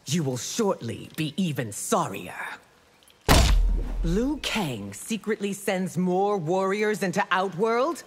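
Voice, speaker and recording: A woman voicing a stern, imperious mature empress with a husky voice, speaking formally in a video game. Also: fairly low pitch, lively intonation, slow pace, a little hiss or hum. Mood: stern, threatening. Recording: long hall reverb.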